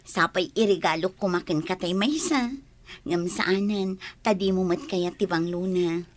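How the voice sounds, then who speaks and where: A woman acting a gentle, contented young wife and mother in an Ilocano radio drama. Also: fairly low pitch, measured pace, dry recording, lightly acted. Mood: friendly.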